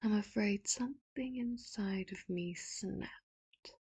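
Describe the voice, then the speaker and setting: A woman voicing a manipulative, doting villain in an ASMR audio roleplay, speaking slowly and softly with sudden sharp threats, close to the mic. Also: mid-range voice, slightly rough, dry recording. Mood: soft, menacing. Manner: slow, hushed, often whispered, turns sharp and loud for threats. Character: doting, manipulative villain, soft-spoken and menacing.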